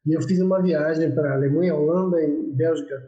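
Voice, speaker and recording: A man's voice: a little high, fairly even intonation, a little fast, dark tone, dull audio. A middle-aged man hosting a casual online interview, chatty, laughing often and repeating words as he talks. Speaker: host. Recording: echoey room.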